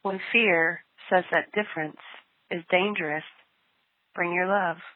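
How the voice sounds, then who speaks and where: A woman with a fairly low voice leads a guided prayer. The recording is thin and narrow, like it came over a phone line. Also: steady tone, unhurried. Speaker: prayer leader.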